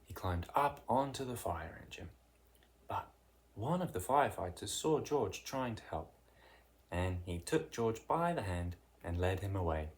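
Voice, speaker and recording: A narrator reading a children's picture book aloud in an expressive, deliberate way with dramatic emphasis. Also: deep voice. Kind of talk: read-aloud children's storytelling.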